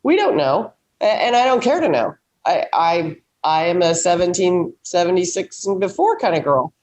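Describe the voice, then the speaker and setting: A woman's voice: lowish voice, animated, moderate pace, a little mellow, lo-fi sound. An American woman giving opinions in a casual panel chat, with a blunt, salty and folksy way of talking.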